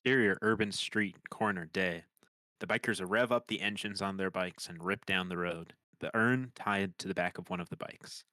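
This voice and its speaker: Very deep, bright-voiced male narrator. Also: moderate pace, no echo. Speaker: stage-direction narrator. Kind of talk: scripted narration.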